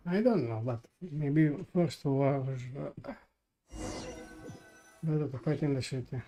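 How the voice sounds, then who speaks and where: A man's voice: medium pitch, slow, dull audio, soft background music. A man chatting casually on a gameplay stream, hesitant and muttering to himself. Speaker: live streamer.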